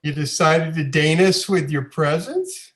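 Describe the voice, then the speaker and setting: A man's voice: on the high side, animated, measured pace, a bit bright. A man acts out a fussy suburban father of sixty. He is snide, delivering comedic dialogue as in a table read.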